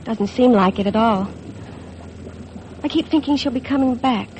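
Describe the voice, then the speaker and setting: Over faint vintage radio noise, an actress plays an insecure young American bride. Her voice is high and wistful, in the earnest manner of 1950s radio drama. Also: normal speed, a little mellow, lightly acted, low-quality recording. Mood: hurt, wistful. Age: young adult.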